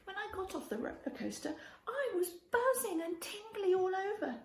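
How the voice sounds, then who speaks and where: A woman reading a children's story aloud in an expressive way, speaking directly to young listeners and prompting them. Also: extremely high pitch, moderate pace. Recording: roomy echo with faint background noise.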